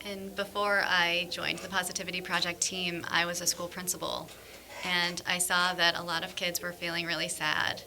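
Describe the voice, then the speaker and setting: A woman's voice: on the low side, vocal fry, pushed and bright. A woman, a former school principal, giving thoughtful, articulate answers as a guest in a podcast interview.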